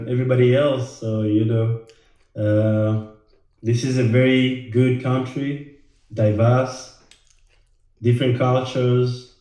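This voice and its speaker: A man from Rwanda, a financial educator, talking casually to the camera, halting with many fillers and repeated words. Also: mid-range voice, warm tone. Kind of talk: casual direct-to-camera talk. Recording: very echoey room.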